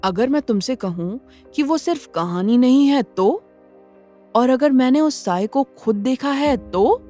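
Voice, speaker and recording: A woman's voice: sits high, lively intonation, measured pace, dark tone, soft background music, pitched above the performer's own voice. A woman voicing a mysterious young woman, probing and knowing, in an audio horror drama. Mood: intense, mysterious.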